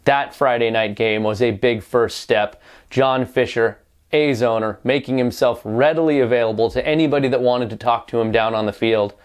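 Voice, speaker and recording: Male sports reporter, mid-pitched voice, wry, pointed, opinionated video commentary. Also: normal speed.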